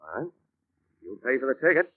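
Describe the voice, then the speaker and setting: An actor playing a wry, deadpan, wisecracking insurance investigator in a 1940s radio detective drama. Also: male voice, medium pitch, fairly quick, narrow, tinny audio. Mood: dry, businesslike. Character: wisecracking, cynical freelance insurance investigator, adult man. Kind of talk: 1940s radio detective acting with first-person narration. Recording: band-limited old radio broadcast recording.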